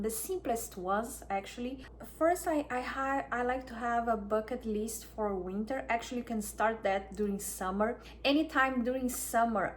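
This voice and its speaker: Soft-spoken woman with a Brazilian accent. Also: a little high, slight background noise. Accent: Brazilian Portuguese. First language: Portuguese. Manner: soft, unhurried, with frequent restarts. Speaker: wellness vlogger. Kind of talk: casual talk to camera.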